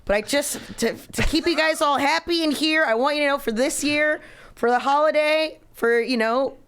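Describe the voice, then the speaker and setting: A woman's voice: high-pitched, moderate pace, a bit bright. A woman comedian guesting on a podcast, riffing in casual comic banter.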